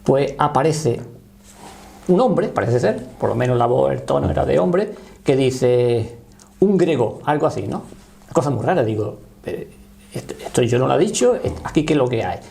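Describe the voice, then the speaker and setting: Gravelly older man from Spain. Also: mid-range voice, animated, mellow. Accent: Spanish. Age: 60s. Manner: halting, often seeking confirmation. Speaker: interview guest, paranormal voice researcher. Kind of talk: conversational storytelling and explanation.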